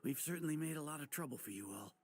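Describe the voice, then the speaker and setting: A man's voice: on the high side, lively intonation, very fast, close to an everyday voice. A man voicing a weary, apologetic male village trader who talks hesitantly and stammers, with faint game music behind. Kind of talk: game cutscene voice acting.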